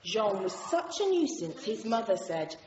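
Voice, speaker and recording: An actress playing a brisk, controlled nursery teacher talking to small children in a radio drama. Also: female voice, mid-range voice. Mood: storytelling. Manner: clear short instructions, animated. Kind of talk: acted classroom talk and storytelling. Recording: roomy, dull, noisy classroom sound.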